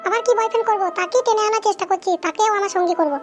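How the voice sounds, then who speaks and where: Woman as young cartoon ghost girl, squeaky-high, rapid, plaintive, cheeky, music behind. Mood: complaining. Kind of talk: comic cartoon dialogue.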